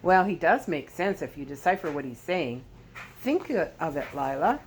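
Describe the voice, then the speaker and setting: A woman's voice: low voice, sing-song, moderate pace. Storybook role: practical young man, read by a woman, earnest, reassuring, slight recording noise. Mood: brisk, eager.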